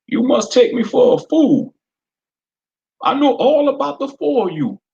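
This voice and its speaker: A man's voice: very high-pitched, big pitch swings, measured pace, vocal fry, a little mellow, muffled recording, pitched above the performer's own voice, exaggerated voice. A man voicing a bullying small-town sheriff with a Southern drawl, sneering and menacing. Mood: taunting.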